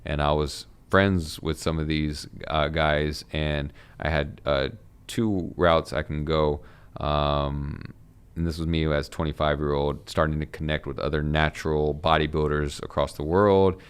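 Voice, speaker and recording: A man in his thirties, a fitness coach talking as a podcast guest, halting with many fillers and restarts. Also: extremely low pitch, steady tone, clean tone. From United States. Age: 30s. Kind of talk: casual conversation.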